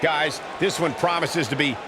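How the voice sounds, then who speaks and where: A man playing an excitable play-by-play wrestling commentator in a video game. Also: on the high side, normal speed, lightly acted. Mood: brisk, engaged. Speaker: sports commentator. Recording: loud crowd and arena noise.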